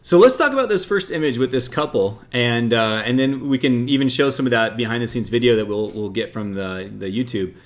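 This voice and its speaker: A man's voice: mid-range voice, fairly quick, few pauses. A man hosting an interview, effusive and eager, often stumbling over and repeating his words. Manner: effusive, stammering restarts, lots of "like". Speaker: host. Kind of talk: enthusiastic interview chat.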